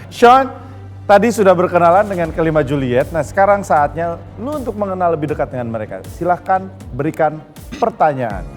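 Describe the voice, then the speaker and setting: A man's voice: on the high side, big pitch swings, fairly quick, long reverb tail, faint music behind. Upbeat male dating-show host. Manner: upbeat, teasing, builds suspense. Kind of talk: lively TV dating-show hosting.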